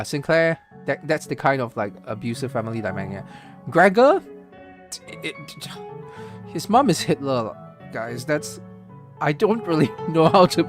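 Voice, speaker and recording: A man from Singapore giving casual live-stream commentary on a game's story, thinking aloud with lots of fillers and restarts. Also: mid-range voice. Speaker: streamer reacting to a game story.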